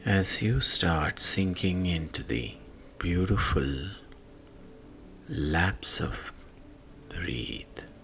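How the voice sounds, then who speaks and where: A man guiding a sleep meditation in a hushed manner, leaving long pauses and letting phrase endings fade into breath. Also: deep voice, animated, vocal fry, slight background noise. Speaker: meditation guide. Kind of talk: slow guided sleep meditation. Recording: soft music behind the voice. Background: soft music.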